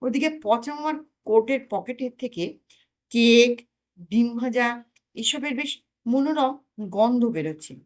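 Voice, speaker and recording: A woman, reading a comic story aloud as an expressive narrator. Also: a little high, slow pace. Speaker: audio story narrator and channel host.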